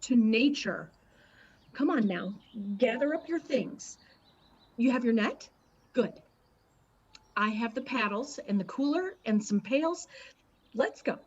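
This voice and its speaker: Woman acting a high-voiced, stubborn mother. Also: animated, slow pace, pauses often, breathy edge, highs cut off, exaggerated voice. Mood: brisk, firm. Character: stubborn, superstitious, scornful wife and mother, embittered. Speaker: actress in a radio play. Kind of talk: dramatic radio play acting.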